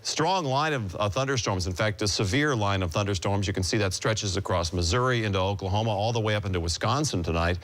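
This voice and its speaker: Low-voiced American TV weatherman. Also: fast talker, hardly any pauses, a bit bright. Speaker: TV weather forecaster. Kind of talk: ad-libbed weather report.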